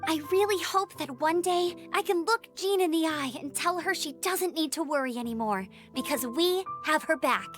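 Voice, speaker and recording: A woman voicing an energetic, confident and earnest young female knight scout in a video game. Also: extremely high pitch. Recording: loud game music underneath. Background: game music.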